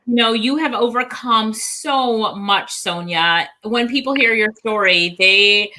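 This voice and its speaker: A woman's voice: a little high, takes it slowly, sharp tone. A woman speaking as an interview host, giving encouraging conversational commentary.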